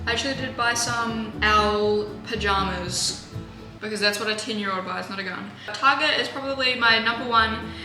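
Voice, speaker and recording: A woman vlogging in a home kitchen, chatting in a rambling way with sarcastic, deadpan asides, recorded on a camera mic. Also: mid-range voice. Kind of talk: casual vlog chat. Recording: roomy with music bed.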